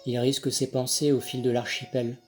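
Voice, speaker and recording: A man reciting his own poem in measured, deliberate phrases with pauses between them. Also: mid-range voice, level tone, darkish tone. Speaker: poet reading own poem. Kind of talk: poetry recitation. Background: Handel music accompanies the reading.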